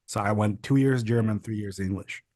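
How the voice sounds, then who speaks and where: A man's voice: lowish voice, moderate pace, mellow, no room sound, studio quality. A young man from the Republic, a podcast guest telling his story in a casual, rambling way with lots of fillers. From Czech Republic. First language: Czech. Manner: rambling, restarts and stacks of fillers. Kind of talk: casual interview chat.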